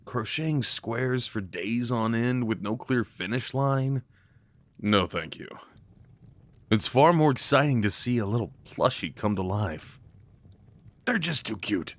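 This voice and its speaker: Lively, affectionate boyfriend played by a man, close and dry. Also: mid-range voice, measured pace, dry recording. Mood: relaxed, rambling. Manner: tender, lightly teasing, rambling. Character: affectionate, playful, crafty boyfriend cuddling his partner. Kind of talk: intimate ASMR boyfriend roleplay.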